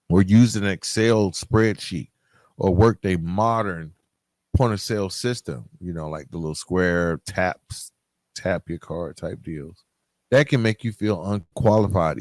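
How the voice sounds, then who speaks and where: A man's voice: low voice, a little mellow, dry recording. A middle-aged man hosting a podcast, talking informally and directly to his listeners in a conversational solo monologue. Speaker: podcast host.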